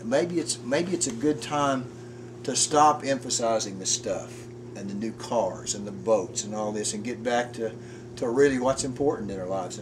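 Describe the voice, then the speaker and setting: Earnest man in his fifties, distant mic. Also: mid-range voice. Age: 50s. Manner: earnest, halting, with frequent restarts. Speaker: porch conversation guest. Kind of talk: casual reflective chat. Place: outdoors on a front porch. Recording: distant mic with background noise.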